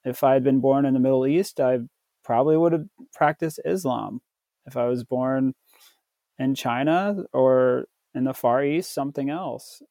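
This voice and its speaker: An American man from Tennessee telling his personal story as a podcast guest, in a halting, reflective way with frequent pauses mid-sentence. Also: mid-range voice, warm tone, dry recording. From southern United States, Tennessee.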